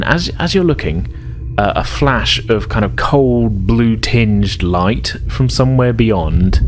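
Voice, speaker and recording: Low-voiced man running a tabletop game, noisy recording. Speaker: game master. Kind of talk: improvised narration of a tabletop role-playing game.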